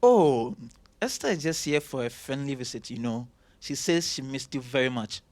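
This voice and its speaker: An actor playing an affectionate, teasing young doctor and favoured son in an audio drama, earnest and playful. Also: male voice, medium pitch, animated, normal speed, a little mellow, no echo, close to an everyday voice. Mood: breezy. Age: young adult.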